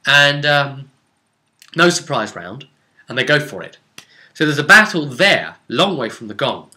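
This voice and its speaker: Bright-voiced man recounting a tabletop game. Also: medium pitch, sing-song, unhurried. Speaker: game master. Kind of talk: casual recap of a tabletop role-playing session.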